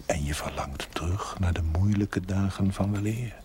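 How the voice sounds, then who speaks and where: An actor playing a solemn, mysterious, probing voice of conscience in a 1970s television drama, grave and half-whispered. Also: male voice, sits low, close to an everyday voice. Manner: slow, grave, knowing. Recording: noisy old television soundtrack.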